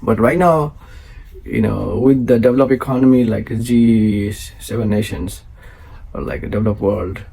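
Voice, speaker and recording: A man, a Maithili speaker, introduces his show in a rough, husky voice. His tone is dark and mellow, and his speech halts on frequent fillers and restarts. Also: mid-range voice. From South Asia. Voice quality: gravelly, husky. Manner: halting, many fillers and restarts. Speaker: host.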